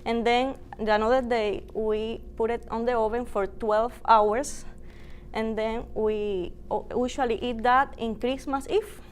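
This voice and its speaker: Cuban-accented woman, somewhat high voice, eager guest explaining dishes in halting English. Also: fairly even intonation, a little hiss or hum. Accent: Cuban Spanish. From Cuba. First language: Spanish. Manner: eager, halting, with Spanish fillers. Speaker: restaurant guest. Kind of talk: TV interview.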